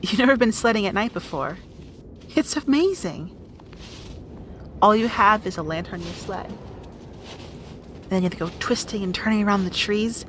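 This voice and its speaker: A woman's voice: mid-range voice, animated, normal speed, long pauses, fairly bright tone, slight background noise, natural voice. A woman playing a brusque, guarded young rat princess in an audio roleplay drama, bossy and conversational. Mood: casual, amused.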